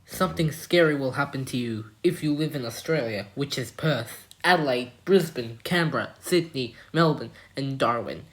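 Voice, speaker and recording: A woman's voice: extremely low pitch, moderate pace, hardly any pauses, low-quality recording. A woman delivering a scripted mock emergency announcement as the broadcast's announcer.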